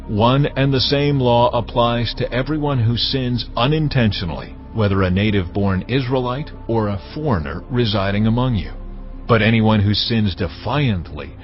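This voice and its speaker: A solemn, authoritative God played by a man in an audio scripture drama. The voice is low and measured, commanding as it lays down law. Music sits behind it, and the sound is slightly dull. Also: lightly acted. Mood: stern. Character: God, solemn commanding male deity giving laws. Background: background music.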